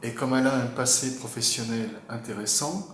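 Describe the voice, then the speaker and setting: Measured, articulate man in an echoey room. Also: medium pitch, steady tone, fairly bright tone, a little hiss or hum. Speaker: patient's husband. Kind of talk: interview testimony.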